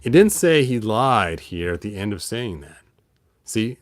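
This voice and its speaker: A man's voice: on the low side, very animated, moderate pace, no room sound, studio quality. A man reading a children's story aloud, breaking off for playful, rambling, wry asides in a casual way. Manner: playful, rambling, tosses in sound effects and wry remarks. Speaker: host of a toy and storybook show.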